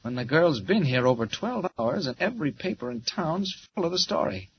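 An actor playing a confident adult male reporter-hero in 1940s radio acting, brisk and earnest. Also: a little high, big pitch swings, slight background noise. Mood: coaxing. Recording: dull 1940s radio transcription.

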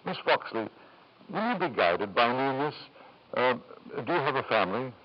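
A man's voice: mid-range voice, very animated, moderate pace, close to an everyday voice. An actor playing a middle-aged lord in an old-time radio drama, urbane and insistent, hemming as he persuades. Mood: persuasive. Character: smooth, domineering wealthy lord and father. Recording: muffled 1940s-50s radio recording.

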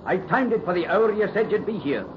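High-voiced Scottish foundry foreman. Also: animated, brisk. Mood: hearty, respectful. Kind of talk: 1930s radio acting. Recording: muffled, very noisy 1930s radio recording.

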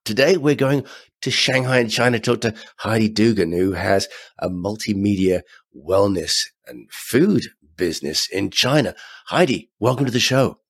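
A man with a very deep, husky voice hosts an interview podcast. He talks in a friendly, conversational way. He often drops in casual fillers as he asks his questions. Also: normal speed. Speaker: podcast host.

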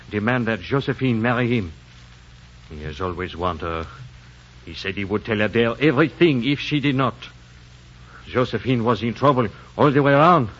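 A man's voice: medium pitch, fairly bright tone, natural voice. An actor playing a loyal, earnest French-Canadian backwoodsman in a 1940s radio drama. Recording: dull noisy 1940s radio recording.

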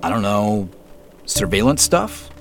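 An actor playing an earnest, cautious amateur sleuth in an audio drama, speaking in a measured, conversational way. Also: male voice, fairly low pitch, wildly swooping pitch, creaky, heavily acted. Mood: earnest, careful. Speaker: lead character and narrator. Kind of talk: scripted audio drama, first-person narration and naturalistic phone dialogue. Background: background music.

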